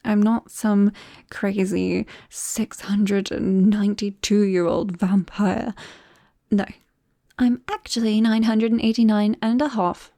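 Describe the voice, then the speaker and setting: A British woman playing a shy, embarrassed young vampire confiding in a friend in an ASMR roleplay, soft-spoken and hesitant, often slipping into whispers, close to the microphone. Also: medium pitch, steady tone, takes it slowly, dry recording. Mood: playful, confiding. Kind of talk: ASMR roleplay, intimate and conversational.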